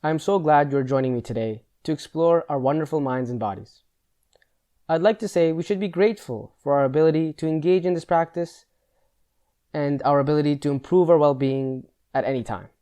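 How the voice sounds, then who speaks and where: A man leading a guided meditation, giving slow instructions in a measured, gentle, instructional manner. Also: on the high side.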